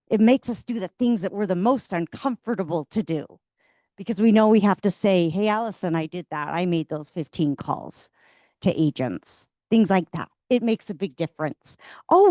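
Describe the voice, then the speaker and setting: A woman's voice: mid-range voice, a little mellow, dry recording. A woman hosting an office team meeting, upbeat and encouraging as she introduces speakers. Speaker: meeting leader.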